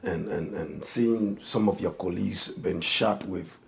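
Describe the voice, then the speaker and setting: A man in his fifties, a pastor, telling his life story in a halting, earnest way with many restarts. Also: deep voice, gravelly, slight background noise. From Liberia. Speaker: pastor, guest. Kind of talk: personal testimony in an interview.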